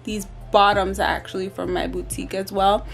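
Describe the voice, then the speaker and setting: A woman's voice: mid-range voice, little pitch movement, brisk, gravelly, faint music behind. A woman in her thirties chatting casually and tiredly to camera in a bedroom vlog, with lots of ums and likes. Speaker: vlogger. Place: bedroom at home.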